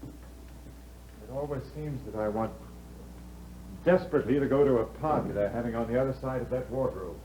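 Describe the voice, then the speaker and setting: An actor playing an exhausted, haunted husband and novelist who speaks in a weary, brooding way with sudden outbursts, in a 1950s radio drama. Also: male voice, medium pitch, moderate pace, pauses often, dark tone, close to an everyday voice. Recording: dull 1950s radio broadcast with faint hum.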